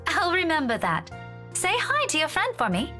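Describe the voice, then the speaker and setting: A woman's voice: very high voice, huge pitch swings, moderate pace, pushed and bright, exaggerated voice. A woman playing a kind young woman in a visual novel game, speaking politely and with composure. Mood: friendly. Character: kind young woman, gentle and patient with a child. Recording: music behind the voice.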